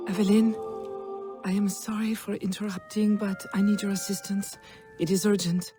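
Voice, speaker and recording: A woman playing an anxious, polite stepmother figure pleading urgently for help in a husky, breathy voice, in a game cutscene. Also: mid-range voice, flat delivery, moderate pace, over music, natural voice. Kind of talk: dramatic video game cutscene acting.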